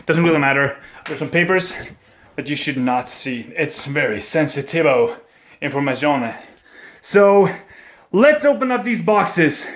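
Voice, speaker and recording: A young man with a Swedish accent, unboxing computer parts in a casual, excitable vlog at home, swearing and joking as he goes. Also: a little high. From Sweden. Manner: excitable, swears a lot, jokey. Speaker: gaming vlogger. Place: at home indoors. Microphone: webcam.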